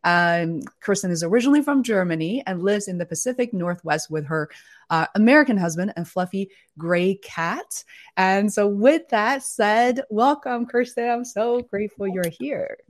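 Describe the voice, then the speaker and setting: A middle-aged woman hosting a live interview, enthusiastic and a bit halting with fillers. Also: mid-range voice. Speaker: host.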